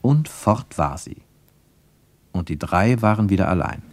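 A man narrating a radio travel drama in a measured storytelling manner. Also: deep voice, animated, no room sound. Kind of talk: scripted radio play narration.

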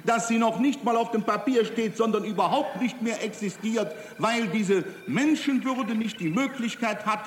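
A man with a high voice delivers a formal political speech in an emphatic, rhetorical manner. It is an archival recording from a reverberant hall, with some background noise. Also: moderate pace. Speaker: politician, parliamentary delegate. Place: large assembly hall.

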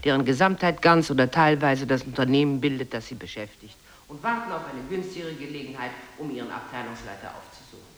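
Performer as decisive advisor giving the next step, mid voice, fast, radio play. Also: medium pitch, fairly bright tone, a little hiss or hum, natural voice. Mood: prompt, decisive. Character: prompt procedural advisor concluding what to do. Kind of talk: experimental radio play, scripted recitation.

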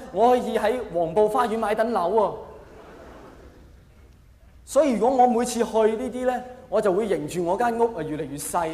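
A man with a Hong Kong accent performing a self-mocking, mock-earnest stand-up comedy monologue in an animated way. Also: high voice. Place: large live venue with long reverb. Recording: echoey hall, faint noise.

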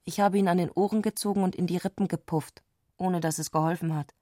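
An actress playing an eccentric, excitable woman speaking an intimate letter in intense, abrupt short sentences, in a literary radio play. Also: on the low side, fast, no room sound, clean studio sound, close to an everyday voice. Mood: restless recounting.

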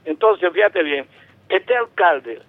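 A man's voice: high-pitched, expressive, slow, dry recording. A man in his seventies from Venezuela, calling in to a radio show, talking at length with emphasis and many restarts. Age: 70s. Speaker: caller. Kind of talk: call-in radio talk. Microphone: telephone. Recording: tinny phone line.

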